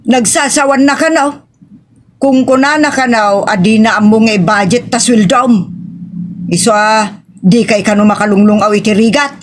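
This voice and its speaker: A woman's voice: on the high side, steady tone, normal speed, slight background noise, natural voice. A woman with an Ilocos accent playing a resentful older mother-in-law who speaks reproachfully in a drama. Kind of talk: Ilocano audio drama acting. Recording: music under the voice.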